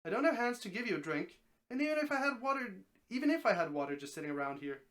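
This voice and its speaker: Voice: medium pitch, very animated, quick pace, fairly bright tone. A reader voicing a talking snake from a story, helpful and wry, explaining things in a matter-of-fact way. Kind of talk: storybook dramatic reading.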